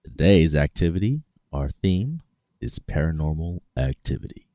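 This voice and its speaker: A man's voice: rumbling low, big pitch swings, normal speed, warm tone. A man who is the podcast's sound engineer, answering in short, breezy quips. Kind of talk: casual podcast chat.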